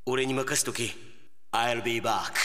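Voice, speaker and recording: A man's voice: mid-range voice, steady tone, slow pace, pushed and bright, big-room sound, voice pushed down. A man voicing an easygoing, dim-witted alien in a comic radio drama, casual, chummy and sure of himself. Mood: cocky.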